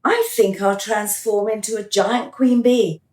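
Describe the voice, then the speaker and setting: A composed, wry female official of the afterlife, played by a woman in a radio drama. Her mid-pitched voice sounds in a roomy, echoing space. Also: fairly even intonation, unhurried, darkish tone, lightly acted. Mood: wry, flirtatious. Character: brisk, composed female reincarnation official, playfully flirtatious. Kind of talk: radio play acting.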